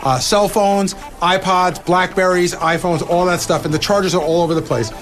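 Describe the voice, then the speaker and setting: A man gives a casual product demonstration as a segment presenter. His voice is fairly high, and faint music runs behind it. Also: normal speed, fairly bright tone.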